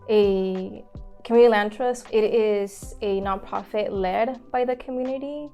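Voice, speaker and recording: A woman from Mexico speaking as a community organizer in an interview, talking haltingly with many fillers and restarts. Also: mid-range voice, mellow, soft background music. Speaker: community land trust organizer. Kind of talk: casual interview talk.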